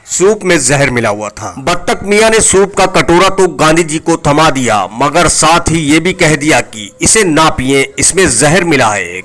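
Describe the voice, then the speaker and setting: A man's voice: fairly high pitch, a bit bright. A man reading a scripted news feature as a reporter narrating the story.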